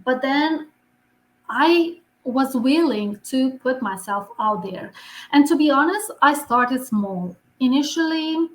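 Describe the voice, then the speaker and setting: A woman's voice: high voice, animated, slow. A woman from Romania with a Romanian accent, speaking earnestly as a podcast guest; English is not her first language. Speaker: podcast guest, visibility expert. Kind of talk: podcast interview. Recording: echoey room.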